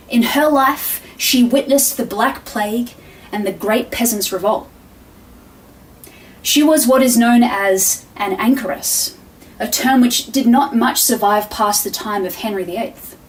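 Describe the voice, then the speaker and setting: A woman's voice: on the high side, lightly acted. A woman acting as a courteous defense attorney, giving an articulate, explanatory opening statement to a jury in an audio drama. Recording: distant mic, faint background noise.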